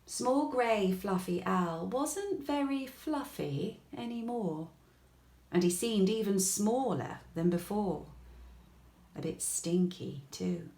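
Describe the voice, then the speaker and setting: Slow, expressive woman reading a bedtime story. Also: mid-range voice. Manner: expressive, gentle storytelling with deliberate pauses. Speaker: storybook reader. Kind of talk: bedtime story narration for young children. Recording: echoey room.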